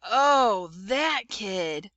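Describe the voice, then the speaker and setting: A woman voicing a whining, argumentative second-grade boy in a dramatic, excitable manner for a storybook. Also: mid-range voice, very slow, no room sound, muffled recording, exaggerated voice. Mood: spooked.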